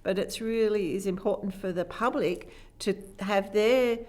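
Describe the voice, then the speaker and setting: A woman's voice: medium pitch, unhurried, a little mellow. Aussie lady in her 70s, chatty and easygoing, laughs a bit. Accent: Australian. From Australia. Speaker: citizen juror. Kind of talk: documentary interview.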